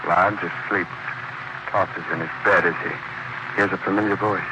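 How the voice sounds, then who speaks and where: A man's voice: sits low, steady tone, moderate pace, natural voice. A man narrating a 1940s radio thriller in terse, atmospheric phrases. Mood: hushed, ominous. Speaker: story narrator. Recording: noisy vintage 1940s radio broadcast.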